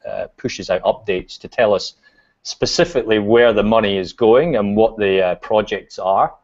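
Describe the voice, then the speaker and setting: Scottish man, low voice, dull call audio. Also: normal speed. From Scotland. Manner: relaxed, many fillers and restarts. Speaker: guest. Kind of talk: casual video chat. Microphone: video call.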